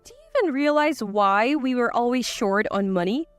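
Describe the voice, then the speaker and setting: A woman's voice: on the high side, moderate pace, smooth, no echo, soft background music, lightly acted. A woman voicing a cool-headed, defiant wife in a dramatized story, assertive and cutting. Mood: cool, scornful.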